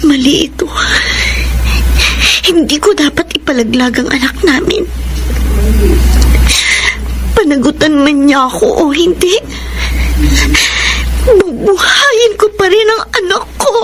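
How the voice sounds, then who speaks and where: Very high-voiced, anguished young Filipina girlfriend. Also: lively intonation, slow pace, long pauses, background noise, heavily acted. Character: young female student, pregnant, torn, tearful girlfriend. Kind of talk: Filipino radio melodrama acting. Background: dramatic music.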